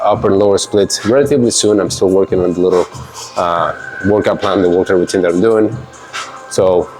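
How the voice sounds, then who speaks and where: A man with a stuffy, congested voice talking casually through a workout, rambling with fillers. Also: deep voice. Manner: relaxed, rambling, with fillers and laughs. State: congested from a cold. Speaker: fitness vlogger. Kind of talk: casual workout vlog talk.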